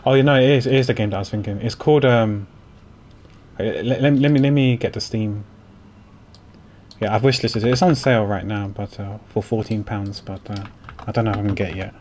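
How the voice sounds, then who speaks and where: A man chatting casually on a game livestream, halting and thinking aloud as he goes. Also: lowish voice, steady tone, a little mellow. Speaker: game streamer. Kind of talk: casual livestream chat while playing. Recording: faint noise, keyboard typing.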